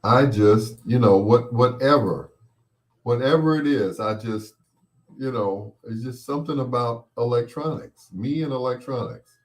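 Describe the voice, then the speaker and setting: A man's voice: medium pitch, a little slow. A man hosting a personal storytelling show in a casual, rambling, playful way, sometimes acting out what people said. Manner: playful, acts out quoted voices.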